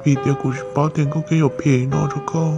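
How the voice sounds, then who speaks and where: A fairly high-pitched man speaks as a character in an animated family drama, acted by a man. The recording is dull and lo-fi, with music behind him. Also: quick pace, creaky. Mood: conversational. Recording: dull lo-fi sound.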